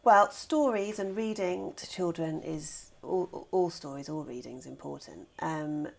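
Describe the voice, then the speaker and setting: Lower-voiced British woman being interviewed. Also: unhurried, creaky. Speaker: guest, children's author and scriptwriter. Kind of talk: conversational interview answers.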